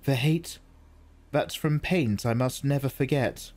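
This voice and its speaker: A man reading aloud, voicing a grave noblewoman mother who speaks with measured restraint. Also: mid-range voice, darkish tone, voice pushed up, close to an everyday voice. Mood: restrained.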